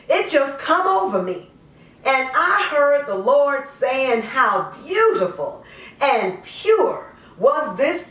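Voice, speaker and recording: A woman performing a dramatic monologue poem as a troubled, self-justifying mother, colloquial, drawn-out and confessional. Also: high voice, fairly bright tone, heavily acted. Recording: echoey room, distant mic.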